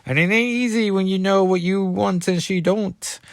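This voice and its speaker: Folksy Cajun comic-book hero, voiced by a male reader, pitched higher, easygoing adviser with a drawl, close dry sound. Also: normal speed, gravelly, dry recording. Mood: advising. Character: smooth Cajun man comic-book hero giving advice.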